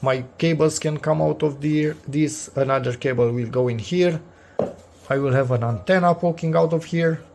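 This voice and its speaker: A man's voice: on the high side, normal speed, gravelly, a little mellow, a little hiss or hum. A man hosting a casual DIY vlog, thinking aloud and repeating himself for emphasis. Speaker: DIY video host. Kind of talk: casual unscripted workshop vlog commentary.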